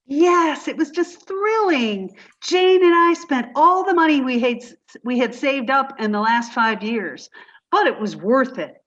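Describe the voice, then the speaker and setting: Woman doing a scatterbrained young secretary, high bright voice, swooping sing-song lines, dull lo-fi sound. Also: lively intonation, a little slow. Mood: cheerful, dizzy. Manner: breathless, dizzy, sing-song delivery. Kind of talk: 1950s radio comedy acting.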